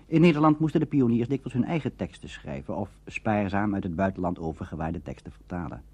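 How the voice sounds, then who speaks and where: A man giving scripted explanatory narration as a lecturer, formal and phrased with emphatic pauses. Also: low voice, animated, warm tone, husky, dry recording.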